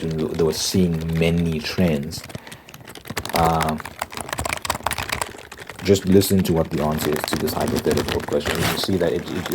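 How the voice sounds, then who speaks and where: A man giving rambling ASMR commentary in a conversational, explanatory manner, often pausing and restarting. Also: a bass voice, background noise. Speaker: solo host of a rambling channel.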